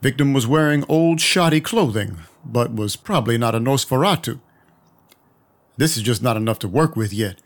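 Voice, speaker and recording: An actor playing a wry vampire detective who narrates in a dry, measured, noir manner. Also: male voice, medium pitch, lively intonation, natural voice. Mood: wry, matter-of-fact. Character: weary, wry male vampire detective narrating his night. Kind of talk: first-person noir scripted narration.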